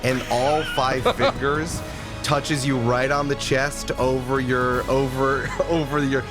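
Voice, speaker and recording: A man's voice: mid-range voice, little pitch movement, slow pace. A man playing a tabletop game, casually improvising narration of his character's moves in a playful way. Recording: music bed behind the voice.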